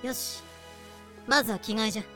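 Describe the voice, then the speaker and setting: A woman's voice: on the high side, a little fast, bright, forceful tone. A woman acting a haughty wise wolf woman who sells slaves, using proud, old-fashioned courtly speech in a fan-made voice drama. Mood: brisk, commanding. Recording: music behind the voice.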